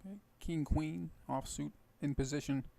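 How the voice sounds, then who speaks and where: Hoarse man talking poker strategy. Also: a little high, fairly even intonation, normal speed, warm tone. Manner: explains strategy, thinks aloud with fillers. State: hoarse, rough voice. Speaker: poker video host. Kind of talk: casual poker commentary over gameplay.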